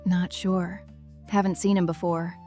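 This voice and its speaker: A woman's voice: on the low side, level tone, a little slow, mellow, faint music behind, lightly acted. Woman playing a dry, teasing young adventurer. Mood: unsure. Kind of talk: narrated fantasy audio drama.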